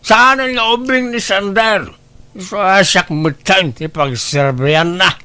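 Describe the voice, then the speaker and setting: A man's voice: high-pitched, slow pace, bright, forceful tone, clear, resonant tone, exaggerated voice. An actor playing a selfish, hard-drinking father in a radio drama, surly and defiant. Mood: defiant, irritated. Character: selfish, surly drunkard father who resents meddling. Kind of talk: Ilocano radio drama acting.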